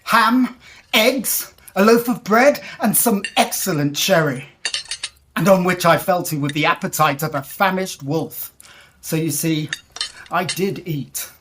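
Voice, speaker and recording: A woman playing a confident, educated gentleman traveller, telling the tale formally and deliberately in a period audio drama. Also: on the low side, slight background noise. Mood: reflective storytelling. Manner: formal, articulate, deliberate storytelling.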